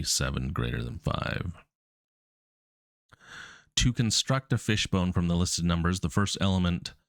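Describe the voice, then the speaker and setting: Deep-voiced man with a stuffy cold. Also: no echo, clean studio sound. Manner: casual, relaxed, many ums. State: stuffy with a cold, cough drop in mouth. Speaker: coding streamer. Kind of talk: reading puzzle text aloud and thinking out loud.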